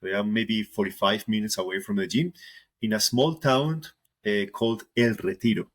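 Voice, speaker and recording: A man in his late thirties speaks English with a Colombian accent, his voice sitting low. He talks casually and haltingly, doubling words as he looks for the next one. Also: a bit bright. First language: Spanish. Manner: halting, repeats words while searching for them. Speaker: guest musician. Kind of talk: casual interview talk.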